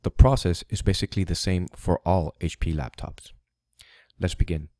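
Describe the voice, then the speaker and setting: Low, dark-voiced man explaining steps. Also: dry recording, clean studio sound. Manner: plain, instructive, slightly halting. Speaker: tutorial host. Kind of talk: step-by-step tech tutorial voice-over. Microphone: USB studio microphone.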